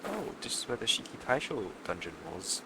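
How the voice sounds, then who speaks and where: A man's voice: mid-range voice, sing-song, fairly quick, noisy background. A man doing casual live let's play commentary, muttering to himself as he plays. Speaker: game streamer. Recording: loud game music behind voice.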